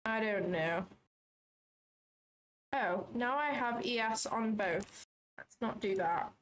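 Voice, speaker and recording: A woman's voice: fairly high pitch, takes it slowly, fairly bright tone, a little hiss or hum, poor audio. A woman hosting a trading livestream from her office, chatting casually, thinking aloud, second-guessing herself and swearing now and then. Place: small office.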